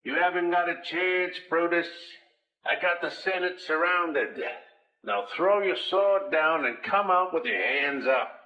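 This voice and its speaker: A man playing a hardboiled, wisecracking detective of ancient Rome in an old-time radio parody, with deadpan tough-guy delivery. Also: a little high, a little slow, no room sound, dull audio. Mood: commanding.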